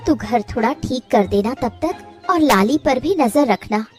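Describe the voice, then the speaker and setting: A woman voicing a gentle, tired, self-sacrificing elder co-wife in a Hindi cartoon story. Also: fairly high pitch, animated, a little slow, soft background music. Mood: weary.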